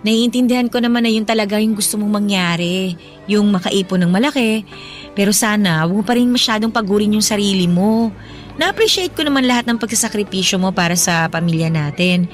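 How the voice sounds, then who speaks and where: A woman playing a caring, supportive young wife in a radio drama, speaking gently and conversationally. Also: medium pitch, fairly quick, soft background music, lightly acted. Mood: gentle, reassuring. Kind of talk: Tagalog radio drama dialogue.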